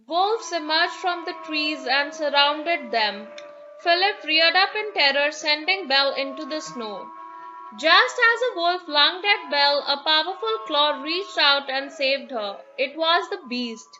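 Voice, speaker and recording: A woman narrating a children's fairy tale as an expressive, dramatic storyteller. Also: high voice, a little slow, highs cut off.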